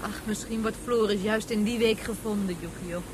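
A kind, patient mother, acted by a woman in a radio space drama. Her mid-pitched voice is gentle. There is clear noise behind her. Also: fairly even intonation, normal speed, close to an everyday voice. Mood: patient, kindly. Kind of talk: science fiction radio drama acting.

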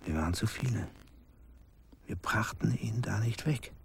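An actor playing a grim villager recalling cruel childhood events, deliberate and hushed, in a radio play. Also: male voice, lowish voice, heavily acted. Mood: grim, intense. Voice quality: gravelly, husky, breathy. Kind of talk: slow dramatic radio play storytelling.

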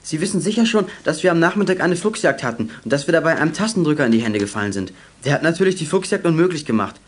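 A man's voice: on the high side, animated, racing. A male actor playing a polite, keen teenage boy detective in a children's radio play, earnest and eager.

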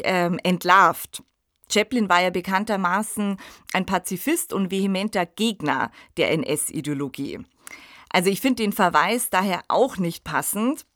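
A woman's voice: mid-range voice, a bit bright, dry recording, studio quality. A woman co-hosting a conversational discussion about literature, talking in a reflective podcast style. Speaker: podcast co-host discussing radio plays and books.

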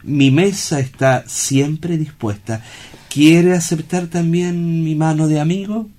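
Fairly high male voice, paternal director of a sanatorium, acted by a man, courteous, formal, reassuring, theatrical radio play. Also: warm tone. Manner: formal, courteous, measured phrasing. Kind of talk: theatrical audio drama acting.

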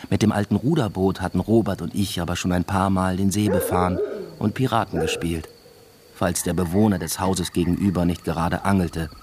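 A man's voice: deep voice, fairly even intonation, a little fast, darkish tone, slight background noise, natural voice. An actor playing a brooding academic father who narrates his own story in a radio drama, subdued and introspective. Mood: subdued, pensive. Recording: music bed behind the voice.

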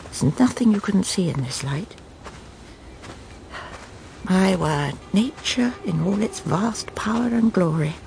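An actress playing a prim, self-satisfied, controlling middle-aged English wife in a radio drama, brisk and genteel. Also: female voice, mid-range voice, expressive, a little mellow, a little hiss or hum, low-quality recording. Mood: brisk, wondering. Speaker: lead actress and narrator. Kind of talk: radio drama acting with inner monologue.

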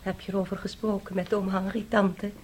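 An actress playing a prim, inquisitive young woman visiting relatives in a radio drama, polite and quietly insistent. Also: mid-range voice, flat delivery, fairly quick, slightly rough, natural voice. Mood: suspicious, probing.

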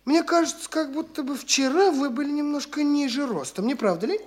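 An actor playing a boastful, flippant young official who talks in an airy, teasing, condescending way in a classic radio play. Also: male voice, very high-pitched, brisk.

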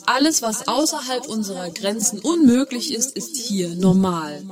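An actress playing a formal, polite, impersonal official announcer at a border in a radio play. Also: female voice, on the high side, very animated, moderate pace, darkish tone.

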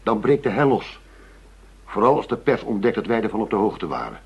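An actor in a Dutch radio play playing a blustering, fed-up police chief who talks bluntly, with sarcasm and combative demands. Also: male voice, on the low side, big pitch swings, brisk, creaky, breathy edge, exaggerated voice. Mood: irritated, pressing. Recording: dull, muffled radio drama recording.

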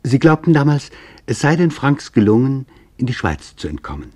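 A man narrating a scripted literary radio documentary in a solemn, deliberate, formal manner, with a husky voice. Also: medium pitch, sing-song, a little mellow.

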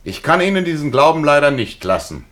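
A man's voice: a little high, sing-song, fairly quick, fairly bright tone. An actor playing a bitter, commanding leader of burglars in a 1950s German radio play, intense and imperious in his phrasing. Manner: intense, imperious, clipped orders.

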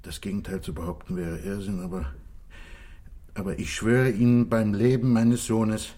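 Contrite man on the run, played by a male actor: deep, mellow-dark register, earnest and pleading, 1960s radio drama. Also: fairly even intonation, normal speed, natural voice. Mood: anguished, confessing. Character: contrite, desperate wealthy fugitive confessing, husband and father. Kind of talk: 1960s German radio play acting.

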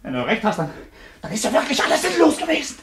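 A man's voice: extremely high pitch, huge pitch swings, quick pace, a bit bright, heavily acted. An actor in a German radio play playing a tormented, irritable husband with murder on his conscience, tense, brooding and bitter. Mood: anguished despair.

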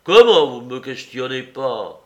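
A cranky old office clerk bursts out in sputtering indignation, as a male reader puts him on. The voice sits high and loud and swings wide in pitch. He is a touchy, easily offended storybook figure. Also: lively intonation, measured pace, vocal fry, highs cut off. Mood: indignant. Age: older.

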